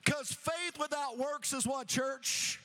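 A middle-aged man preaching an energetic sermon, repeating phrases rhythmically and calling on the congregation to answer. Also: very high voice, takes it slowly, in a large hall. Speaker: pastor. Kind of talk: energetic sermon with call-and-response.

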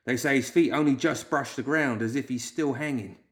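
A man voicing a frightened, obsessive clerk in a story, whimpering and wailing in drawn-out, fearful speech. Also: medium pitch, lightly acted. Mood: haunted, fearful.

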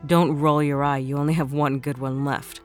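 Actress as wry tough woman, slightly low pitch, casual, sardonic, audio drama dialogue. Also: level tone, normal speed, soft background music, close to an everyday voice. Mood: dry teasing.

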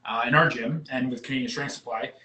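A man's voice: mid-range voice, fast, bright, forceful tone. A man hosting a podcast, asking casual, upbeat questions and joking easily with his guest. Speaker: podcast host. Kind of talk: casual interview chat. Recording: roomy echo.

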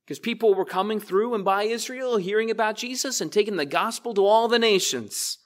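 A man's voice: sits high, a little fast. A man preaching a sermon as pastor to his congregation, talking to them directly and casually. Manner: conversational, addresses congregation directly. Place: church.

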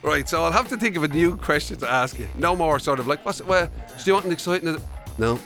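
A man's voice: fairly high pitch, expressive, fast, pushed and bright, faint music behind. An Irish man co-hosting a radio show in casual comic chat, rambling and asking bemused questions. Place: radio studio.

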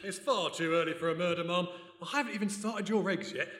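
Man acting a high-voiced, deadpan English valet. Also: a little fast, close to an everyday voice. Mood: wry, deadpan. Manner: deadpan, wry. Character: dry, long-suffering male valet in 1920s England. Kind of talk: radio play acting. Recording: echoey noisy hall, live stage recording.

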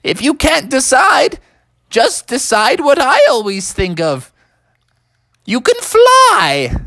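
A woman voicing an old male wizard who was an owl, speaking grandly and solemnly in a storybook. He turns impatient and pushy, his voice raised high. The recording is dry and close. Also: wildly swooping pitch, takes it slowly, fairly bright tone, no room sound, heavily acted.

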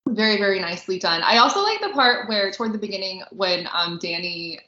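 A woman's voice: medium pitch, animated, fairly quick, bright, forceful tone. A woman co-hosting a podcast in casual chat, gushing informally about what she enjoys. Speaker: podcast co-host and film critic. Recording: roomy, echoey, slightly dull audio.

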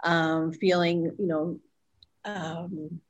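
A middle-aged woman speaks as an interview guest, her voice sitting a little low. She recalls her past slowly and reflectively, stopping often to search for words. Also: level tone, dull audio. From Costa Rica, raised abroad. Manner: reflective, pausing with fillers. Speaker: guest, retreat owner.

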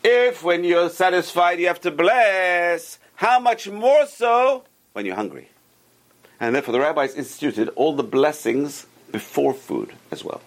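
A man's voice: on the high side, a bit bright. A man teaching an informal religious class to a group around a table, explaining step by step, repeating key points and asking the students questions. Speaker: Torah teacher.

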